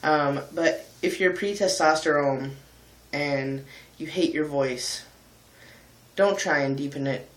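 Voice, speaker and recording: A man with a somewhat high, light voice speaks candidly and reflectively to camera. He pauses often and fills gaps with ums. The room around him rings with noticeable echo. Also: fairly bright tone. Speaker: vlogger and singer. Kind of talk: casual reflective vlog talk.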